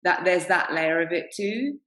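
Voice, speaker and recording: Low-voiced woman in an echoey room. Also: expressive, normal speed, fairly bright tone. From England. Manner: candid, anecdotal, laughs often. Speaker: panelist. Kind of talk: panel discussion.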